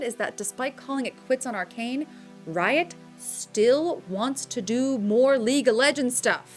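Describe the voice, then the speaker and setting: An American woman hosting a film news show, delivering scripted commentary in a conversational, opinionated, explanatory way. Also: fairly high pitch. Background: background music.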